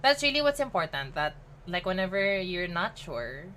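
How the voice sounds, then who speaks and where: Woman streaming games, chatty and upbeat, bright lively voice, bounces around a lot. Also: lowish voice, normal speed, a little hiss or hum. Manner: chatty and upbeat, mixes English with Tagalog, laughs often, greets chat by name. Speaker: game streamer. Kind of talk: casual live-stream chat.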